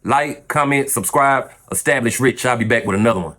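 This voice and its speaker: A man's voice: medium pitch, brisk, a bit bright. A middle-aged man hosting a scripted monologue, speaking emphatically in short, punchy phrases. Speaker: host. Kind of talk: scripted nostalgic monologue on hip-hop culture.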